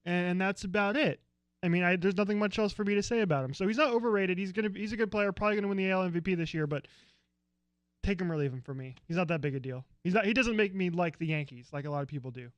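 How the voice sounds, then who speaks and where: A man's voice: sits high, fast, dry recording, dull audio. An American man co-hosting a casual sports podcast, opinionated and rambling, grumbling dryly about teams he dislikes. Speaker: co-host.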